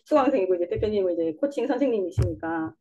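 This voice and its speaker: A woman's voice: medium pitch, expressive, fast talker, dark tone, dull audio. A young woman with a Busan dialect, answering interview questions casually, rambling a little and laughing often. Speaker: interview guest, coaching student.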